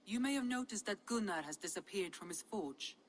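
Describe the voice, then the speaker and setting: A woman playing a composed, wise Norse adviser in a video game, speaking in a measured, gently wry way. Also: medium pitch, lively intonation, a little hiss or hum, natural voice. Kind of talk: dramatic video game acting.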